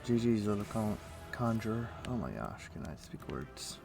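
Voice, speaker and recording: A man livestreaming a game, chatting casually and thinking aloud in a rambling way, with a rough morning voice. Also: lowish voice, big pitch swings, normal speed, music in the background. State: rough morning voice, throat clearing. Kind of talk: casual chat while playing an online game.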